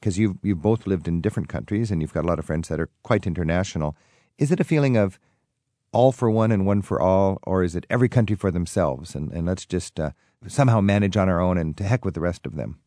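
A man's voice: low-pitched, fast, mellow, dry recording, clean studio sound. A man hosting a travel radio show, asking guests friendly, leading questions. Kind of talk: radio interview.

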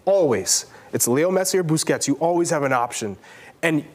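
A man's voice: a little high, lively intonation, moderate pace, a little mellow. A man who is a former player and soccer pundit, breaking down play on a sports panel with emphatic repetition. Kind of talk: analytical sports panel discussion.

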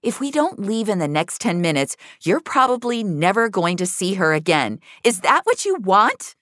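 A woman's voice: mid-range voice, sing-song, a bit bright, dry recording, clean studio sound, pitched above the performer's own voice. Woman playing a sardonic, awkward woman on the run. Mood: urgent, assertive. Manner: awkward and halting, or dryly defiant. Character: Finch, sardonic, tough but socially awkward woman on the run.

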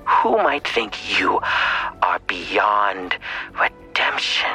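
A man playing a menacing, taunting villain in an audio drama, speaking slowly and deliberately in a breathy, gravelly half-whisper. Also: fairly low pitch, very animated, pushed and bright, faint music behind, heavily acted, rough recording. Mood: cold contempt.

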